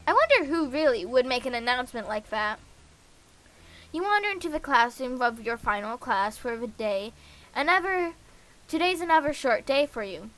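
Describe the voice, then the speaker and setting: A woman's voice: sits high, very animated, moderate pace, a bit bright. A woman streaming a game, reading visual novel dialogue aloud in a casual, playful way.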